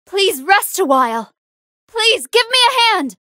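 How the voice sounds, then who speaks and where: A woman's voice: very high-pitched, sing-song, a little slow, bright, forceful tone, no room sound, clean studio sound, full character voice. A woman playing a good-hearted female android fighter in an anime fighting game, earnest and polite, with emphatic battle lines. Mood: gentle, polite, then pleading, urgent.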